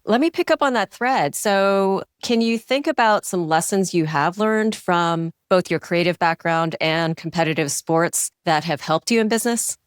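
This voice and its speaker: Woman host, lowish voice, calm measured questions, dry close mic. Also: clear, resonant tone, no room sound. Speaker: podcast host. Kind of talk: interview hosting.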